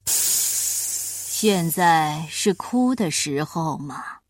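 A woman acts out a venerable old man, the grand master of stories, whose words come slow and heavy with command. Her tone is husky and dry, with faint music behind. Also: sits low, expressive, no echo, pitched below the performer's own voice, exaggerated voice. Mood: stern. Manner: slow, deliberate, weighty.

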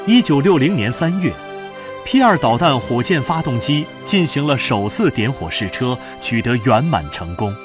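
Animated male narrator over prominent music. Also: medium pitch, moderate pace. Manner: solemn, declamatory. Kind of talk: scripted radio drama narration.

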